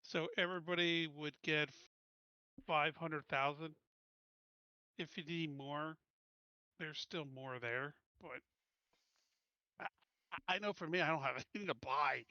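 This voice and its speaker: A man's voice: fairly high pitch, measured pace, long pauses, sharp tone, dry recording. A man chatting casually as a player in a tabletop roleplaying game, on a dull, close recording.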